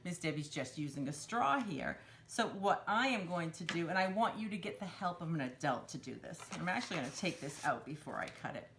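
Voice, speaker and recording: A woman's voice: fairly low pitch, expressive, fairly quick, hardly any pauses, fairly bright tone, a little hiss or hum. A woman hosting a children's storytime craft, explaining each step in simple instructional phrases for young children. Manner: simple instructional phrasing, refers to herself in third person.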